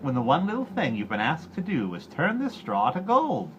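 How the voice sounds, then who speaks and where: A man's voice: fairly high pitch, wildly swooping pitch, normal speed, lo-fi sound, slight background noise, voice pushed up. A man voicing a bizarre little storybook gnome who mocks, wheedles and chants in sing-song rhymes. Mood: drawling mockery. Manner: sing-song, roaring and crooning with nonsense rhymes.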